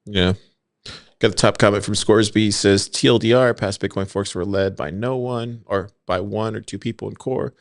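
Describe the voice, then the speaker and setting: A man co-hosting a casual podcast, reading forum posts aloud in a steady way and asking his co-host for his thoughts. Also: fairly low pitch, gravelly, no echo, studio quality. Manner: reads quotes in an even, steady way, then asks for opinions.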